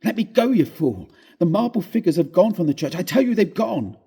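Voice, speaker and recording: A man's voice: high voice, sing-song, fairly quick, creaky, dark tone, husky, pitched above the performer's own voice, exaggerated voice. A man reading a ghost story, voicing an overwrought husband in an agitated, earnest, theatrical way. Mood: frantic insistence.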